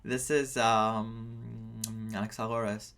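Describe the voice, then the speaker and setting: A man doing playthrough commentary as a game streamer, reading on-screen text aloud and reacting in an animated way. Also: on the low side, takes it slowly, creaky. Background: game music.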